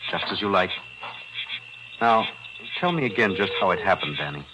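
An actor playing a calm, shrewd attorney in a 1940s radio drama, speaking in a measured, deliberate way. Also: male voice, sits low, highs cut off, background noise.

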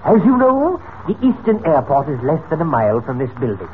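An actor playing a smug, menacing criminal mastermind in 1940s radio style, oily, theatrical and condescending, on a tinny, noisy old recording. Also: male voice, on the high side, wildly swooping pitch, brisk. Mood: menacing boasting. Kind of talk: 1940s radio serial acting.

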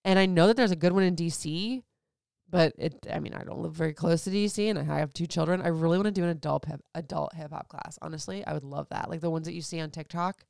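A woman's voice: low-pitched, fast, creaky, darkish tone, dry recording, clean studio sound. A woman in her thirties from Florida, chatting candidly as a host answering listener questions, rambling with lots of "like"s. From Florida, USA. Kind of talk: casual chat.

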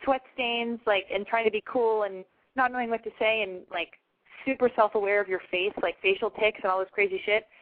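A woman's voice: medium pitch, fairly even intonation. A woman in her twenties from Los Angeles, hosting a call-in advice show, frank and gushing. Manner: frank, gushing, talks fast. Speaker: call-in radio advice host. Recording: tinny phone-line sound.

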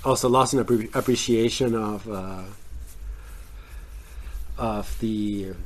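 A man's voice: lowish voice, very slow. A man co-hosting a casual film-review podcast, who rambles with many fillers and restarts. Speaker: podcast co-host.

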